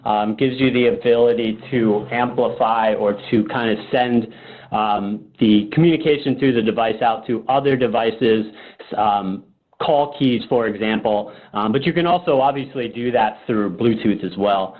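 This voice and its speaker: A man's voice: lowish voice, normal speed. A man giving an informal webinar presentation, talking in a loose, conversational, improvised way. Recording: muffled, phone-like web conference audio.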